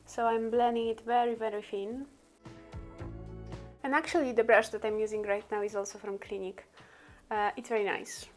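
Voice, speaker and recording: A woman's voice: fairly high pitch, steady tone, normal speed. Give me a woman, native Polish speaker, chatting casually with lots of ums and laughs over faint music. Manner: chatty, many fillers, laughs often. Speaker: beauty vlogger. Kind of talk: casual makeup tutorial.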